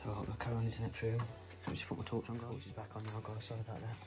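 A man filming himself with a phone as he explores an abandoned house indoors, talking low and hurried to his viewers. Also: monotone. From England. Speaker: urban explorer filming a walkthrough. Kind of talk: casual handheld vlog commentary. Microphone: handheld phone. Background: background music.

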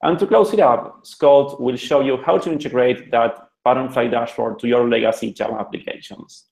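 An Argentinian man presents to developers over a video call, friendly and joking, with loose restarts. His voice has a gravelly edge. The room sounds echoey through his computer mic. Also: mid-range voice, moderate pace, darkish tone. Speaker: web designer presenting a talk. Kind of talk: online conference presentation.

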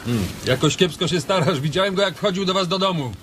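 A man playing an easygoing, self-assured ranch boss in a radio drama, calm and matter-of-fact. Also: high voice, fast. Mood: teasing. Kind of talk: Polish radio drama acting. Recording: noisy, birdsong ambience behind.

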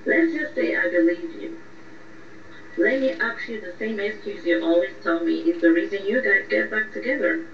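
A woman's voice: mid-range voice, moderate pace, close to an everyday voice. A woman playing a blunt, sarcastic young best friend in a radio drama, teasing and exasperated. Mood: sceptical. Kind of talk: radio drama phone conversation. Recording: muffled, echoey, distant, faint noise.

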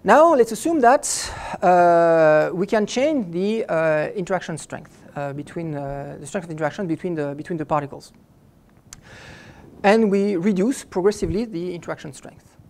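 A man's voice: high-pitched, lively intonation, a little mellow. A middle-aged man giving a physics lecture in a lecture hall, speaking at a lectern microphone and often stopping and restarting his phrases. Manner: halting, stops and restarts phrases often while explaining. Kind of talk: academic lecture.

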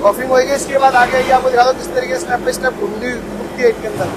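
Man, factory owner, high and monotone sales patter, noisy shop floor. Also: fast, over a noisy crowd. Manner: eager salesman, keeps inviting and promising quality. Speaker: footwear factory owner giving a tour. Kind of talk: sales pitch factory tour. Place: footwear factory floor, indoors. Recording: noisy factory machinery behind.

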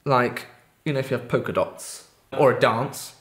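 A young man hosting a casual vlog, joking and guessing out loud at the answers. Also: medium pitch, steady tone, normal speed. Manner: jokey, guessing aloud. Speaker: vlogger. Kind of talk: casual chat. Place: indoor room at home. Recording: echoey room.

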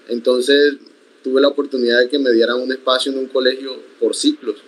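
A young man from the Colombian Sierra Nevada whose first language is Iku, calmly answering interview questions over a video call from a room at home. He talks in a reflective way and often restarts his sentences. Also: mid-range voice, low-quality recording. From Sierra Nevada de Santa Marta, Colombia. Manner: measured, reflective, with frequent restarts. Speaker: interview guest, accordionist. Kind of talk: conversational interview.

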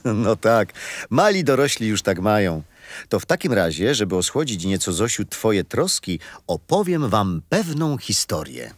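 Kindly old grandfather, deep-ish voice, animated delivery, gentle, children's radio drama. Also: a little slow, no echo. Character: kindly grandfather telling his grandchildren bedtime stories. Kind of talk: children's radio play, storytelling.

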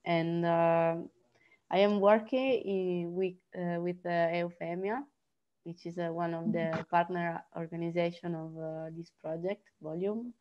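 A woman from Italy introducing herself on a video call, with a lowish, flat voice. She talks slowly and haltingly, and the audio is muffled. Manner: halting, many fillers. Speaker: workshop participant.

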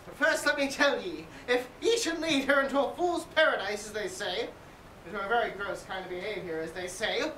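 A woman's voice: mid-range voice, fairly quick, fairly bright tone, natural voice. A woman playing a fussy, bawdy old nurse on stage in Shakespeare, rambling, groaning and teasing. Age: older. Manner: rambling, exclaiming, theatrical groaning and chuckling. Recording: echoey room, distant mic, faint noise.

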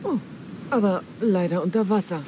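An actress playing a wily, flattering pirate treasure hunter in a dramatic German game dub, with theatrical, coaxing delivery. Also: female voice, medium pitch, moderate pace, close to an everyday voice. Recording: clear ambient noise.

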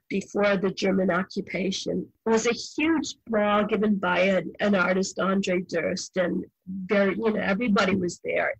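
A woman in her sixties, a novelist, talking as a podcast guest in a lively, storytelling way with emphatic asides. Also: medium pitch, gravelly, breathy edge, dry recording, rough recording. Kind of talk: podcast interview, relaxed storytelling.

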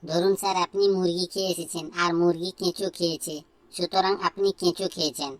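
A woman's voice: lowish voice, steady tone, fairly quick, no room sound, close to an everyday voice. A woman voicing a cheeky, dim-witted schoolboy who answers earnestly in a comedy cartoon. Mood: eager, cheeky.

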